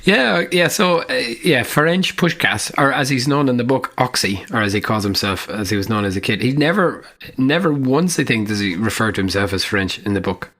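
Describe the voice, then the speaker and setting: A man's voice: mid-range voice, animated, moderate pace, fairly bright tone. A man co-hosting a podcast, chatting casually in a dry, teasing way and laughing often. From Ireland. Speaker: podcast co-host. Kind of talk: casual chat.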